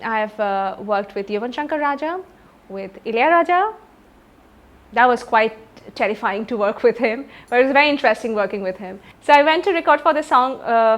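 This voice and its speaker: A woman talks through her career as a film singer. Her voice sits a little high, and her telling is chatty and anecdotal. She stops now and then to search for names. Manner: chatty, anecdotal, many pauses to recall names. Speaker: film playback singer and lyricist. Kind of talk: interview, recounting career.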